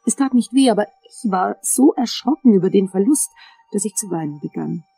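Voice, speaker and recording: Mellow, breathy dentist. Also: female voice, medium pitch, lively intonation, a little fast, no echo, soft background music, poor audio. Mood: intimate, reflective. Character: composed, seductive female dentist fascinated by teeth. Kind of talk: radio play acting.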